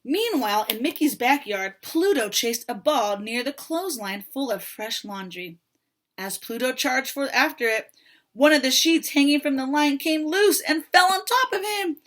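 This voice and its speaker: A woman reading a story aloud to young children in an expressive manner, pausing to talk to her listeners. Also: high-pitched. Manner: expressive, pauses to ask children questions.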